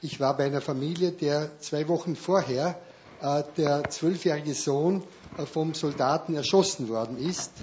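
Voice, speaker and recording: A man's voice: a little high, normal speed, a little mellow, dull audio, slight background noise. A man in the audience making an earnest comment, repeating phrases for emphasis with many fillers. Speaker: audience member.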